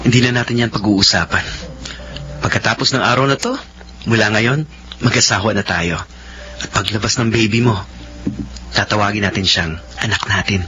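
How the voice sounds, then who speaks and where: Bright-voiced earnest young groom. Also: male voice, fairly low pitch, moderate pace, pauses often, dull audio, a little hiss or hum. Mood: tender, devoted. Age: young adult. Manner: earnest, deferential, tender. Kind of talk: romantic radio drama acting.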